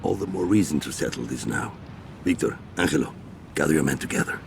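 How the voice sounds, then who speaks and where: A man's voice: extremely low pitch, lively intonation, a little fast, full character voice. A man acting a commanding, menacing gang boss in a video game, with a Latin American Spanish accent and controlled, threatening delivery over background noise. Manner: controlled, threatening, bites out orders. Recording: clear background noise behind voice.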